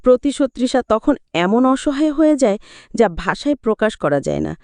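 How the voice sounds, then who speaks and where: A woman's voice: fairly high pitch, big pitch swings, moderate pace, a little mellow, no room sound. A woman reading a scripted, dramatic retelling of a film as a movie explainer. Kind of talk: scripted dramatic story narration.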